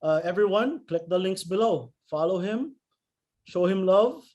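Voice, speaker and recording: A man with a notably high voice hosts a casual online interview. He is effusive and informal, piling praise on his guest and addressing viewers directly. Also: lively intonation, measured pace, a little mellow. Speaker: interview host.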